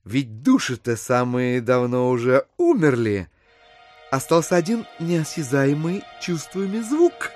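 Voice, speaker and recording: An actor playing a smooth, fussily polite gentleman schemer in an audio drama, with a mannered, ingratiating delivery. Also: medium pitch, sing-song, measured pace, soft background music. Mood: wheedling. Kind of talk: theatrical audio drama acting in Russian classic.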